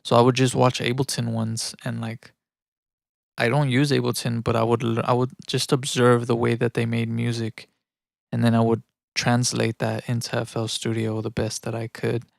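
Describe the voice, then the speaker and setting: A man's voice: mid-range voice, completely flat delivery, moderate pace, vocal fry, no echo, clean studio sound. A man from Mexico living in the United States chats casually and thinks aloud in a rambling, self-reflective way while producing music on a livestream. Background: electronic music.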